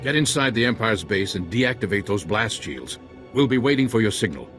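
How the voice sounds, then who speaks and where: An actor playing a male officer giving briefings in a game cutscene, in a brisk, businesslike way. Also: fairly low pitch, animated, fairly bright tone. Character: male Republic officer, brisk military planner. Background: game music.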